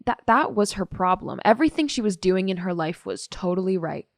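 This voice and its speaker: A woman's voice: on the low side, lively intonation, a little fast, creaky, no echo, clean studio sound. A woman acting a blunt, dismissive, jaded teenage girl testifying in an audio drama. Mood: blunt, scornful. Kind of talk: audio drama monologue, police interview testimony.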